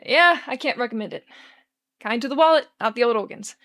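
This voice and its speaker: A woman's voice: fairly high pitch, a little fast, a bit bright, natural voice. A woman voicing a jittery, wisecracking male bartender who talks in a chatty, joking way.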